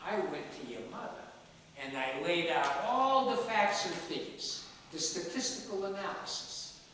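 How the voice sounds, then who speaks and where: A man's voice: sits high, big pitch swings, normal speed, bright, forceful tone, exaggerated voice. An actor playing a feisty, stubborn ninety-seven-year-old father who argues bluntly and emphatically in a stage play. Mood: emphatic, indignant. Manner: emphatic, argumentative, repeats words for force. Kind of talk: one-act stage play dialogue. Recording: echoey hall from far off, faint noise.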